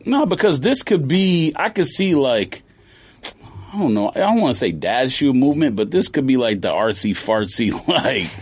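A man's voice: mid-range voice, sing-song, moderate pace, husky. A man with an American accent co-hosting a podcast, casually reviewing sneaker releases in an offhand, informal, teasing way.

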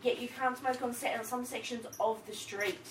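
A woman co-hosting a casual travel podcast, loud and swearing freely, laughing often. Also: on the high side, steady tone, normal speed. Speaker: podcast co-host. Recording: echoey room, mic far off, noisy.

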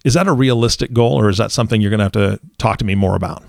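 A man in his forties with a low, gravelly voice, hosting a relaxed podcast conversation. He talks in an easygoing, chatty way and laughs readily. The sound is close and dry. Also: racing, no echo. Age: 40s. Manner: relaxed and chatty, laughs easily, posing hypothetical scenarios. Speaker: podcast host. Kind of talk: casual interview chat.

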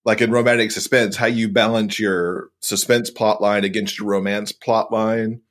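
A man with a fairly low voice chats as a podcast co-host in an interview. His manner is casual and enthusiastic about details. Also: little pitch movement, moderate pace, fairly bright tone.

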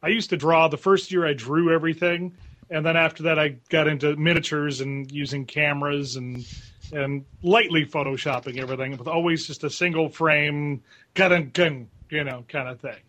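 A man's voice: fairly high pitch, fairly even intonation, moderate pace, fairly bright tone. A man in casual chat as a voice actor guest, joking and self-deprecating.

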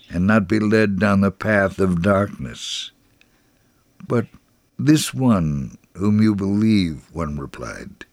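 A man giving a solemn, measured dramatic reading of a first-person devotional story. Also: lowish voice, no echo.

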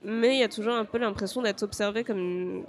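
A woman's voice: medium pitch, fairly quick. A young woman from France, a podcast guest speaking hesitantly, with frequent fillers and restarts. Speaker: interview guest. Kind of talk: podcast interview.

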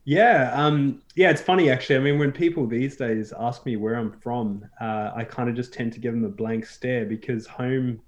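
A man's voice: mid-range voice, moderate pace, hardly any pauses. A man from South Africa, a guest on a talk show, chatting casually with many fillers and restarts. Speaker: podcast guest. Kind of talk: casual interview chat.

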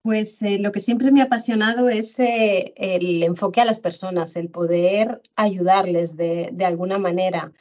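A middle-aged woman from Madrid talks as a podcast guest. Her pitch is mid-range and her manner measured, with frequent fillers and repetitions. The recording is slightly dull and lo-fi. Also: steady tone, darkish tone. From Spain, Madrid. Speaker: guest, HR director. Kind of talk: conversational interview.

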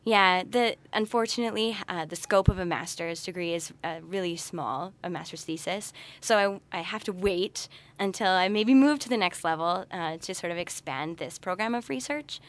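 A woman's voice: on the low side, normal speed, few pauses. A young adult woman speaking conversationally as a guest on a podcast, explaining her work. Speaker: podcast guest, researcher and party facilitator. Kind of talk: conversational interview.

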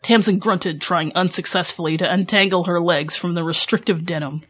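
A woman's voice: on the low side, steady tone, normal speed, a bit bright. A woman reading scripted fan fiction narration as a podcast host. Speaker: podcast host, author reading own story.

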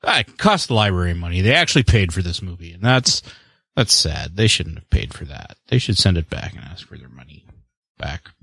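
A man's voice: low-pitched, sing-song, a little fast, gravelly, sharp tone, slightly rough, no echo, studio quality. A man co-hosting a podcast, chatting casually in a rambling, wry way about movies and books. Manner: rambling, wry, self-deprecating. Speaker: podcast co-host. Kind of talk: casual chat.

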